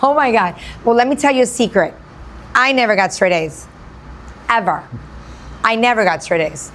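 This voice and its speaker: A woman hosting an interview show, her voice pitched a touch high. She talks effusively and repeats her words for emphasis, with a faint hum of room noise behind her. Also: normal speed, a bit bright. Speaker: radio show host. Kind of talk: enthusiastic interview.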